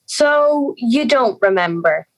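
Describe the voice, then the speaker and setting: A woman's voice: on the high side, expressive, takes it slowly, low-quality recording. A young woman playing an anxious young schoolgirl in a fairy-tale audio drama, hesitant and pleading. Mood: earnest. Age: young adult.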